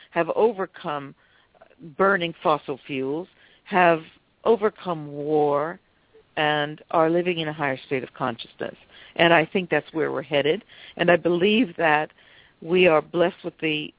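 A woman's voice: low-pitched, dry recording. A woman guest with a stuffy cold, earnestly explaining her views as a psychic and author over a phone line. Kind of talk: phone interview, explanatory talk.

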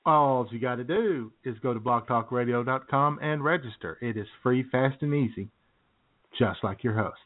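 A man co-hosting a casual internet radio show, joking in deadpan asides and laughing at his own lines. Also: mid-range voice, moderate pace. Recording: tinny phone-line internet radio audio.

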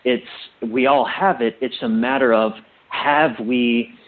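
A man being interviewed as a guest, explaining things like a coach in a thoughtful, halting way and repeating phrases for emphasis. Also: mid-range voice, steady tone, narrow, tinny audio.

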